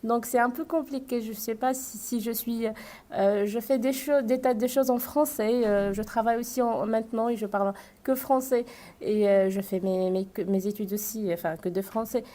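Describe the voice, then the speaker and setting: A woman student, a native Arabic speaker, answering an interview question in a halting way. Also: fairly high pitch, warm tone. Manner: halting, many restarts and fillers. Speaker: student guest.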